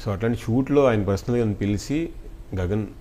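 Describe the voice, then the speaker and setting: A man with a Telugu accent and a fairly low voice speaks as an actor being interviewed. He is earnest and effusive in his thanks, mixing in English phrases as he chats. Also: fairly quick, mellow, a little hiss or hum.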